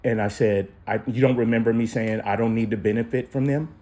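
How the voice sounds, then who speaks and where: A man's voice: medium pitch, steady tone, a little fast, mellow. A man defending himself in a personal argument on a phone call, insistently repeating and recounting what he said. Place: phone call with noise behind. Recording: noisy phone call recording.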